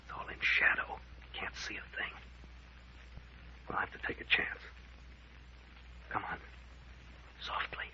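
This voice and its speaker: An actor playing a tough, commanding captain in an old-time radio mystery serial, giving brisk orders. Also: male voice, pushed and bright, whispered, muffled recording. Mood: hushed, tense. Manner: brisk, bossy, clipped orders. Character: tough, commanding captain investigating eerie graveyard happenings. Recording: noisy, band-limited old radio recording.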